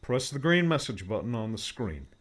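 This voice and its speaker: A man's voice: medium pitch, very animated, normal speed, pitched above the performer's own voice. A man voicing an upbeat, reassuring male tech mentor who explains gadgets in a cheerful manner. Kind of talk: audiobook character voice.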